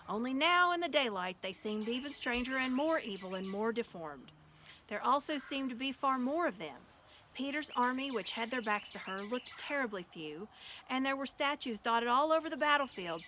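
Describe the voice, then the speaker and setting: A woman reads a children's tale aloud with expression. Her voice is fairly high and bright, with a forceful edge, over faint background noise. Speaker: audiobook reader. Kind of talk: expressive storybook narration.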